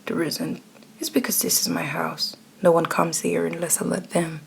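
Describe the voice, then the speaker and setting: A woman voicing a domineering, smug male boss in a hushed, breathy, commanding manner for an ASMR roleplay. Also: fairly low pitch, flat delivery, normal speed, slight background noise.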